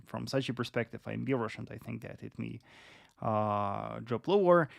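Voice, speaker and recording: A man in his late twenties hosting a live stream and explaining market analysis in a deliberate manner, often repeating phrases. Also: medium pitch, animated, vocal fry, dry recording, clean studio sound.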